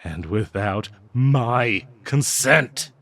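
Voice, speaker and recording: A man voicing a possessive, bloodthirsty, lovesick talking cursed dagger in an audio roleplay, speaking to the listener in a theatrical, doting yet menacing manner with halting hesitations. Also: medium pitch, expressive, fairly bright tone, no room sound. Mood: confessional, brooding.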